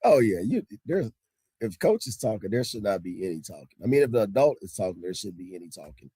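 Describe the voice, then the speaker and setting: A man, a high school basketball coach, talking casually in an interview, emphatic and repeating points for stress. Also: big pitch swings, quick pace, dark tone, breathy edge, dry recording, studio quality. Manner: strict, old-school tone.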